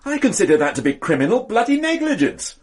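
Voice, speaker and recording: An actor playing a stern, dogged police investigator with a dry, blunt, sardonic way of questioning in a radio drama. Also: male voice, high voice, big pitch swings, quick pace. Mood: angry.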